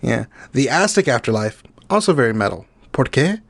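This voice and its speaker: A man co-hosts a comedy podcast in a mid-pitched voice, recorded close and dry. He banters playfully, draws words out for emphasis and slips Spanish phrases in. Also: very animated, normal speed, vocal fry, dry recording. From Mexico. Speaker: podcast co-host.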